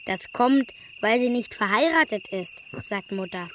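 A woman's voice: mid-range voice, lively intonation, unhurried, a bit bright, slight background noise. A woman playing a curious, frank young child in a German radio play, asking and telling things directly. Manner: inquisitive, direct.

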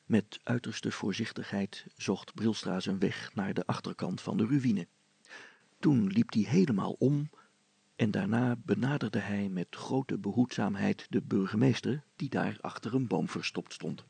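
Very gravelly male storyteller. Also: lowish voice, unhurried, darkish tone, no echo. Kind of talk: expressive scripted story narration.

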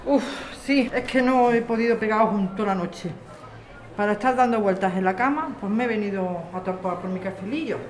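A woman's voice: medium pitch, normal speed, lightly acted. A woman with an accent from Spain acting a tired, secretive, evasive character in radio soap dialogue. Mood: tired, grumbling. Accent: Spanish.

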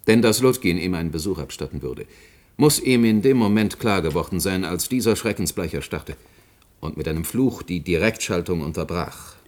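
Low-voiced, insistent expert witness. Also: male voice, animated, moderate pace, a little mellow. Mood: reasoning. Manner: formal, precise, insistent. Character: firm, indignant male expert witness arguing before inquiry. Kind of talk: German radio play acting.

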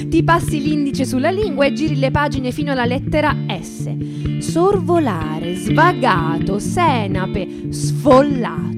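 A woman giving a deliberate, theatrical reading of literary narration. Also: high voice, lively intonation. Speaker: reader and stage performer. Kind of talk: dramatic literary reading, second-person narration. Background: plucked acoustic guitar music.

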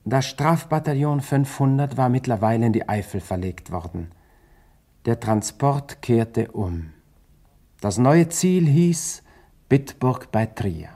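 A man's voice: mid-range voice, very animated, takes it slowly, warm tone. A man reading sober, scripted chronicle narration for a radio play.

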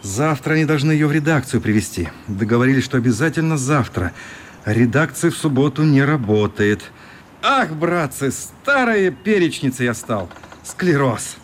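A man's voice: mid-range voice, normal speed, natural voice. A man playing a kindly, rueful newspaper correspondent in a radio play, with a companionable manner. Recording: light steady background noise.

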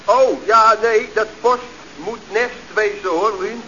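An actor playing a lazy, cheeky, slow-witted young nephew who has just woken up, in a radio comedy. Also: male voice, high-pitched, sharp tone, heavily acted. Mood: drowsy, confused. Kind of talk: 1970s Dutch radio comedy serial acting. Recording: dull, noisy vintage radio recording.

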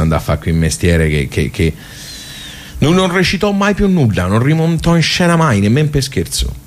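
A man with a Tuscan accent performing a colloquial, rambling storytelling monologue, full of asides to his listeners. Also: low voice.

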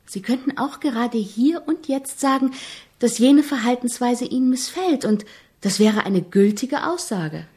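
An actress playing an earnest, persistent young composition student in a German radio drama, questioning politely but insistently. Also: female voice, a little high, expressive, unhurried, a little mellow.

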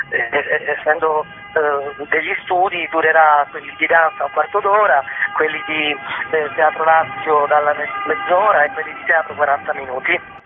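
A man giving explanatory interview answers as a festival director over a phone line, often pausing to find words. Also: on the high side. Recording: tinny, noisy phone line.

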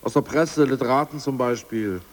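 An ousted politburo member, played by a man, eager and thinking out loud in a 1980s radio play. His voice sits at an ordinary middle pitch. He talks plainly and earnestly. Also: moderate pace, in a large hall, natural voice. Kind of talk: 1980s radio drama acting.

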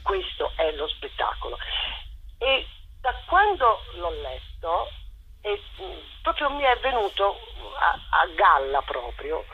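An older woman giving a spontaneous radio interview as a theatre director, effusive and halting, often rephrasing as she goes. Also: on the low side, huge pitch swings, narrow, tinny audio, slight background noise.

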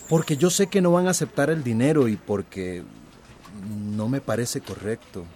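An actor playing a meek but principled employee in a radio drama, hesitant and deferential. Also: male voice, mid-range voice, big pitch swings, moderate pace, dark tone, slight background noise, close to an everyday voice. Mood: uneasy, apologetic.

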